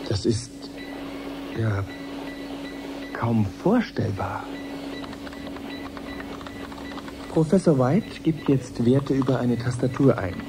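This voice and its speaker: An actor playing an eager male science reporter in a radio drama, commenting live with measured wonder. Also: medium pitch, rough recording. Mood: awed, searching.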